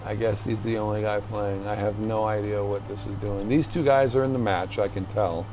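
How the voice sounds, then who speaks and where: A man streaming a video game with casual, rambling commentary, haltingly thinking aloud as he reads the menus. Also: on the low side, fairly even intonation, mellow, soft background music, rough recording.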